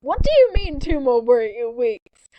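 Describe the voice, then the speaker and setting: A woman's voice: high voice, very animated, unhurried, muffled recording, noisy background. A woman voicing an angry, intimidating, secretive male wolf alpha from an animal fan story. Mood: annoyed.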